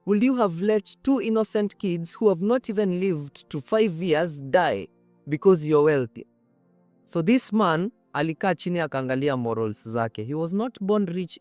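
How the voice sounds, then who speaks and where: A man's voice: fairly high pitch, darkish tone, dry recording. A man with a Kenyan accent hosting a casual, dramatic storytelling show, speaking conversationally and emphatically and repeating phrases for effect. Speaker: storytelling host.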